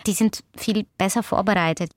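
Brief: woman from Ukraine, Russian-native Ukrainian accent, thoughtful, sometimes hunting for words; mid pitch, dry close mic. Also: moderate pace, dry recording. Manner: reflective, searching for words. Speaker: interview guest, author and doctor. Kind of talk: conversational interview answers.

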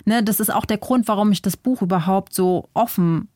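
A young woman from Germany, an author whose first language is Vietnamese, talks through her thoughts in a casual interview. She has a middle-pitched voice and often restarts mid-sentence as she searches for the right word. Her voice is close and dry, with no room sound around it. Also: fairly quick, mellow, no echo. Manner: reflective, thinks aloud with small restarts.

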